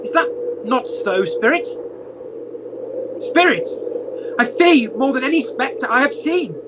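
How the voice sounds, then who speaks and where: A man's voice: extremely high pitch, animated, a little slow. A man playing an elderly, humbled miser with formal, theatrical delivery in an amateur radio play. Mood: apprehensive. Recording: noisy amateur video call audio.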